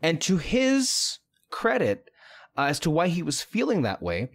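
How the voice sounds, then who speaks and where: Man with a lively, animated voice. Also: on the high side, measured pace, creaky. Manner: reflective, thinks aloud with restarts. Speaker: podcast co-host. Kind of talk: casual chat.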